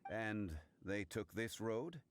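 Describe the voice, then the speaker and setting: Low, grave, formal gentleman. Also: lively intonation, slight background noise. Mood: pensive. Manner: formal, measured. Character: composed, formal, thoughtful gentleman, grave and measured.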